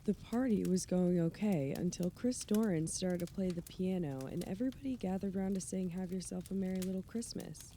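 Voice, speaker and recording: A mellow, lowish female voice from an actress in a radio play. She plays a breezy, witty woman in a conversational manner, with faint noise in the background. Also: a little fast, hardly any pauses, lightly acted. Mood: amused.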